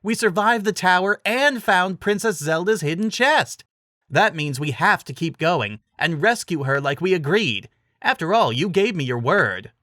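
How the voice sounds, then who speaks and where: A man voicing an eager, excitable young male hero in a dramatic fantasy story reading. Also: sits high, measured pace, no echo, studio quality, voice pushed up, exaggerated voice. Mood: triumphant.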